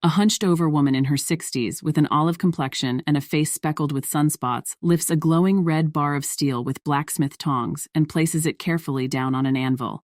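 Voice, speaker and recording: A woman's voice: low-pitched, normal speed, few pauses, a little mellow, no echo, clean studio sound. A woman reading scripted story narration as the narrator of a piece of fiction.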